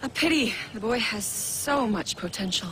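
A woman's voice: on the high side, lively intonation, normal speed, lightly acted. An actress playing a stern female assassin trainer with clipped, formal, teasing speech, in a video game cutscene. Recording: game audio with ambient noise.

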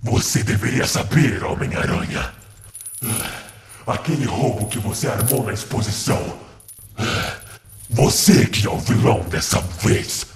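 A man voicing a menacing, taunting alien symbiote villain in a fan-dubbed video game, with a hissing growl and mocking, drawn-out delivery. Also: fairly low pitch, lively intonation. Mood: accusing. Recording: echoing voice under very loud game music.

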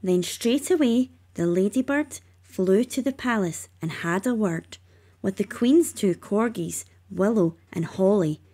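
A woman reading rhyming children's narration aloud, expressively and with measured emphasis. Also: medium pitch, lively intonation, mellow, slightly rough. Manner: measured, emphatic on sound words. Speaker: storybook reader.

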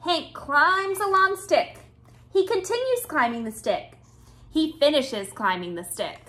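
A woman in her thirties reading a picture book aloud to young children with expressive, deliberate phrasing. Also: sits high. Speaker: children's storytime reader. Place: small indoor room with bookshelves.

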